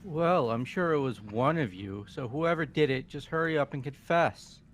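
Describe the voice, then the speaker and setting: Man acting casual, high-voiced young student. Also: animated, normal speed, soft background music, close to an everyday voice. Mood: casual, impatient. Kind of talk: amateur fully voiced game playthrough.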